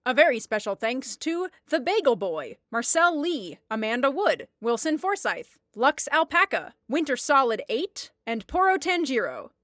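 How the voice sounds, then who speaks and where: A woman's voice: sits high, very animated, moderate pace, few pauses, fairly bright tone, no room sound, clean studio sound. A woman podcast creator reading scripted thanks and a support appeal to listeners.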